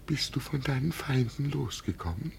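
Old self-styled emperor, creaky voice, wide swings of pitch, tremulous grandeur, 1950 radio play. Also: male voice, expressive, normal speed, a little mellow. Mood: anxious, relieved. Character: deluded old father who believes himself an emperor. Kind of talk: 1950 German radio drama acting. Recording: noisy vintage 1950 radio recording.

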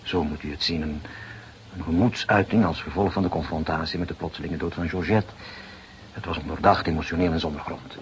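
Posh formal banker type, really deep voice, defensive and worked up, old radio play w some hiss. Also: male voice, steady tone, brisk, poor audio. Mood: apologetic, uneasy. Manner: formal, agitated, protesting. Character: agitated, defensive male bank deputy director, formal and indignant. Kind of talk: Dutch detective radio drama acting.

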